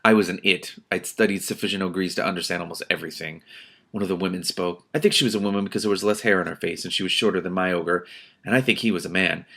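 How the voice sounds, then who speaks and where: A man with a fairly low voice reads a story aloud as the host. He moves between expressive narration and casual, exasperated commentary of his own. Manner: expressive, exasperated asides with swearing. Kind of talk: audiobook-like reading with casual reactions.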